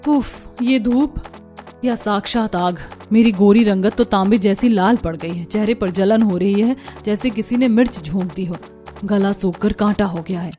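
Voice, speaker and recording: A woman's voice: medium pitch, moderate pace, warm tone, soft background music, natural voice. A woman playing an overworked, exasperated young daughter-in-law in a cartoon story, complaining indignantly. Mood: weary complaint. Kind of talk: Hindi cartoon story dialogue.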